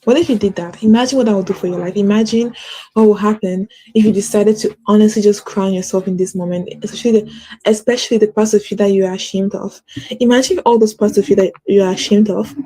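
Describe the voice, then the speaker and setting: A woman's voice: medium pitch, steady tone, fast talker, dark tone, rough recording. A woman hosting a casual motivational talk, earnest and encouraging, with a stutter and many fillers like "like". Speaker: host.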